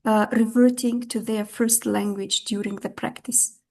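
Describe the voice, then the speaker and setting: A woman's voice: fairly high pitch, level tone, unhurried, a little mellow. A woman moderating an online webinar, briefly thanking the guest and reading out audience questions.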